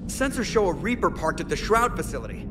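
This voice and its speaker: An actor playing a quick, wry male starship pilot reporting over the comm. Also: sits high, sing-song, recorded from a distance, background noise. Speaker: ship pilot.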